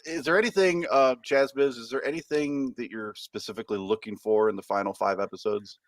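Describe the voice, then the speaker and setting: A man's voice: mid-range voice, steady tone, brisk, no echo. A man co-hosting a casual podcast, chatting about plot details in a quizzical, jokey way. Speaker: podcast host. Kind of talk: casual chat.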